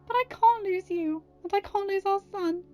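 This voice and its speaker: A woman narrator performs a devoted young wife in an audiobook drama. The voice is high and strained, full of fear and tears. It pleads with open emotion. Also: moderate pace, dark tone. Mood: sobbing, fearful. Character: tearful, frightened young wife, loving and devoted.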